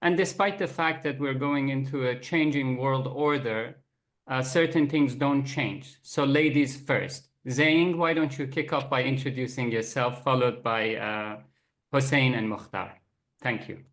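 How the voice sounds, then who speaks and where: A man moderating a panel discussion, speaking in a measured way with frequent fillers. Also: medium pitch, a bit bright.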